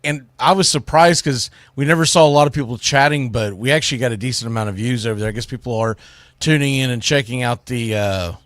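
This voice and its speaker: A man's voice: medium pitch, quick pace, fairly bright tone, no room sound. A man hosting a casual solo sports livestream, rambling in an informal, folksy way. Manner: folksy, rambling, informal with Southern-style turns like y'all. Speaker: sports show host.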